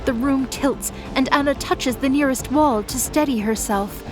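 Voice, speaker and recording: A woman's voice: high-pitched, measured pace, over music, rough recording. A woman giving dramatic scripted narration as the storyteller of an audio drama.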